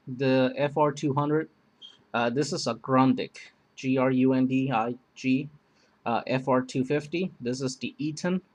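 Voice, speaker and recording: A man casually demonstrating and comparing two emergency radios, rambling with many pauses and fillers. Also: medium pitch. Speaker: product reviewer. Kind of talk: casual product demo.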